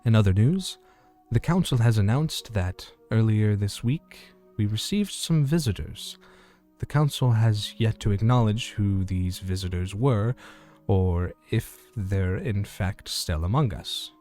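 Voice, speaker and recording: A man playing a small-town radio host in an audio drama, reporting eerie fantasy news in a measured, formal announcer manner. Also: lowish voice, lively intonation, mellow, faint music behind. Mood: musing. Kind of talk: scripted community radio news narration in audio fiction.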